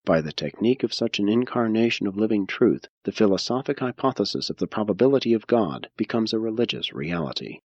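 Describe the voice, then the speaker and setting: Low-voiced, husky man narrating an audiobook. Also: expressive, fairly quick, few pauses, darkish tone, dry recording, muffled recording. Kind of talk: scripted audiobook narration of religious philosophy.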